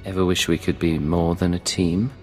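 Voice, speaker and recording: An actor voicing a calm male imperial agent who talks in a low-key, even and concise way, in a video game cutscene. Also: moderate pace, lightly acted. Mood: steady. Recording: long reverb over loud music.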